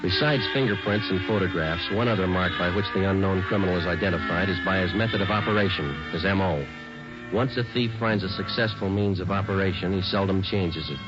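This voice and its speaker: An actor playing a terse, deadpan American police detective who narrates his case in clipped sentences in a 1940s radio drama. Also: deep voice, fairly quick, close to an everyday voice. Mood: flat, factual. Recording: muffled old radio recording, loud music.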